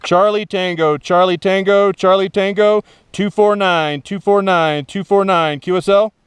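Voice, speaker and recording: A man's voice: high-pitched, fairly even intonation, unhurried, noisy background. A man working as an amateur radio operator outdoors, giving call signs phonetically and repeating himself for clarity. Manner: repeats letters and numbers for clarity. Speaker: amateur radio operator making contacts from a summit. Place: outdoors on a rocky summit.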